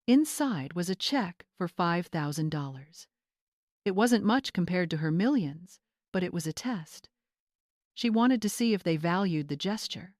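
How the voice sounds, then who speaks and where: Female story narrator: lowish pitch, scripted drama delivery, dry close sound. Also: darkish tone, no room sound.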